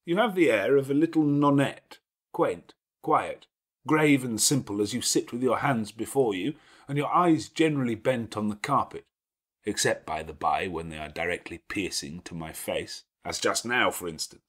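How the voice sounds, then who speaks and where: A man voicing a gruff, commanding, teasing middle-aged gentleman with elaborate, sardonic phrasing in an audiobook. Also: lowish voice, moderate pace, voice pushed up. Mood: probing, teasing. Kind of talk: dramatic audiobook character dialogue.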